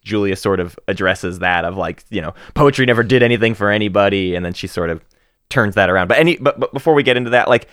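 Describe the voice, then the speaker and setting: A man in his thirties co-hosting a casual book podcast, talking with animated energy, laughing and often restarting his sentences. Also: on the low side, fast, no echo, studio quality. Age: 30s. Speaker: podcast co-host.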